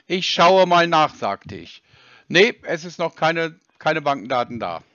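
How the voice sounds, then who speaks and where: A man with a notably high voice talks on a phone call. He is insistent and pedantic, often complaining, in a dry, slightly lo-fi recording. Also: sing-song, takes it slowly, fairly bright tone, no room sound. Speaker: scambaiter on a prank call. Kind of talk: phone conversation. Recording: dry, slightly dull lo-fi sound.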